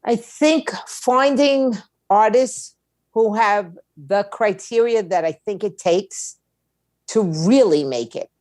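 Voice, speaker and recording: Woman, interview guest, conversational, emphatic, repetitive for effect, medium pitch, dry sound. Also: animated, takes it slowly, darkish tone, dry recording. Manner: emphatic, repeats points, asks rhetorical questions. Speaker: interview guest, music attorney and label owner.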